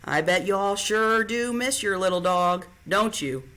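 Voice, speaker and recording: A woman's voice: medium pitch, expressive, unhurried, fairly bright tone, pitched above the performer's own voice. A woman doing a folksy old drifter man.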